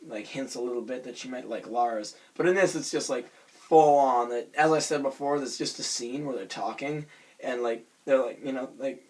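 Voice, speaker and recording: Hoarse, raspy young man vlogging. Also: mid-range voice, moderate pace. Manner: self-conscious, rambling with many fillers and restarts. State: hoarse from screaming. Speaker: vlogger reviewing a cartoon episode. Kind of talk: casual rambling vlog.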